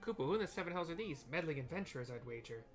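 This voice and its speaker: A man voicing a small, excitable moogle guard from a fantasy game in a theatrical, exclamatory way. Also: a little high, rapid-fire, close to an everyday voice. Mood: indignant.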